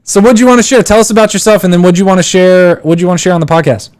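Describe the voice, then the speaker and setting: A man's voice: high-pitched, rapid-fire, a little mellow. A man in his thirties hosting a live call-in show, giving casual advice in a rambling way with many fillers and restarts. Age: 30s. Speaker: podcast host.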